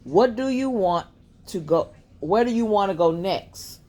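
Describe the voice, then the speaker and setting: A woman's voice: mid-range voice, lively intonation, measured pace, mellow, pitched above the performer's own voice, close to an everyday voice. A woman voicing a curious, playful little girl in a children's storybook. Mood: eager. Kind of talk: storybook character voice.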